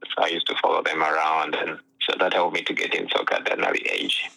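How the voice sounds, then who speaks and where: A young man from Uganda, a pro soccer player telling his story in a relaxed interview, full of "you know" fillers, heard over what sounds like a phone line. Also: very deep voice, fast talker, gravelly, faint music behind. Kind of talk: casual interview chat.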